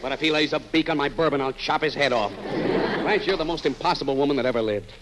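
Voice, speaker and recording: An American actor playing a cranky, stubborn, excitable husband in an old-time radio comedy, grumbling with exasperation. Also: male voice, a little high, fast, close to an everyday voice. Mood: indignant ranting. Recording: noisy vintage radio recording.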